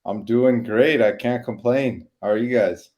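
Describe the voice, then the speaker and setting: A man's voice: mid-range voice, a little slow, darkish tone. A man on a sports podcast, joking and laid-back while giving injury analysis. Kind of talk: casual sports podcast chat.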